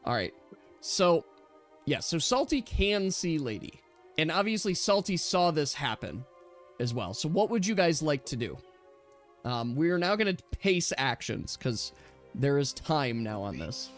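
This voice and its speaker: A man acts as game master for a tabletop adventure over music. His pitch moves around in a lively way as he lays out the action step by step and puts questions to players. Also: a little slow. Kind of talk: narrating an online tabletop role-playing game. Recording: music behind the voice.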